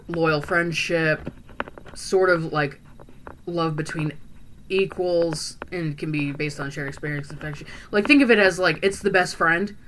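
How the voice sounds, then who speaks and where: A woman's voice: low-pitched, fairly bright tone, slight background noise, low-quality recording. A woman playing a chatty, earnest young female vampire who talks in a rambling, hesitant way full of fillers and restarts. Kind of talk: in-character roleplay monologue.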